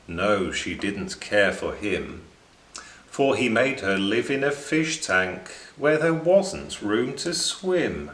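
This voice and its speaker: Male children's story narrator: slow, rather low, expressive and animated, recorded in an echoing room. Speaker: story reader.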